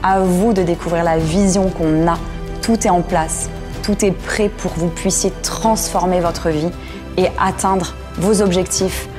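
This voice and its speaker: Woman, thirties, lower-middle pitch, promotional delivery with clear music behind her. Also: fairly even intonation, unhurried. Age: 30s. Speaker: entrepreneur giving a testimonial. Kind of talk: promotional testimonial.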